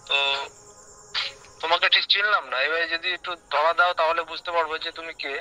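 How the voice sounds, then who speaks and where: A man's voice: a little high, steady tone, fairly quick, bright, forceful tone, low-quality recording. A man in a casual phone conversation, questioning the other person insistently. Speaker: prank call recipient.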